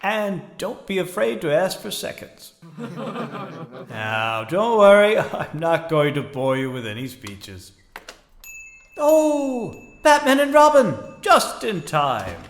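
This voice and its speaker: A gushing, chuckling do-gooder doctor, played by a man in a comic radio play. His high voice swoops around at an unhurried, effusive pace, with room echo and heavy noise. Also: big pitch swings, full character voice. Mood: jovial, welcoming. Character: jolly, gushing doctor running a charity mission. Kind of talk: comic audio drama acting.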